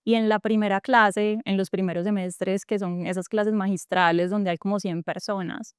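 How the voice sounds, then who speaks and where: A woman's voice: mid-range voice, fairly even intonation, moderate pace, clean tone, no echo, clean studio sound. Reflective Colombian woman with a coffee-region accent. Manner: earnest and reflective, frequent fillers and restarts. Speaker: guest, tech entrepreneur. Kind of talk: reflective conversational interview.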